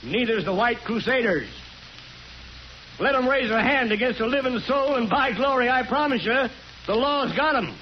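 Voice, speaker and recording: A man playing a stubborn, folksy small-town mayor making a forceful speech in a 1940s radio drama, on a dull old recording. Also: extremely high pitch, animated, moderate pace, a little hiss or hum. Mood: earnest, defiant. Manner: folksy oratory, colloquial and forceful.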